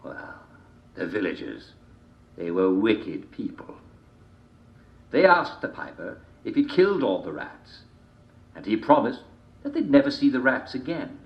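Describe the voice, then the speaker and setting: A man telling a dark fairy tale in a theatrical, deliberate manner, with repeated phrases and dramatic pauses. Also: on the low side, huge pitch swings, creaky. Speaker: storyteller reading a folk tale. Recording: dull, roomy, echoing home recording.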